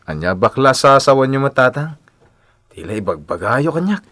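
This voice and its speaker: A man's voice: medium pitch, big pitch swings, moderate pace, fairly bright tone, dry recording. An actor playing an earnest, hesitant young man in a Filipino radio drama, speaking with an Ilocano accent. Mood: flustered. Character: earnest, hesitant young man shy about courting his friend. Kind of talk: Philippine radio drama acting.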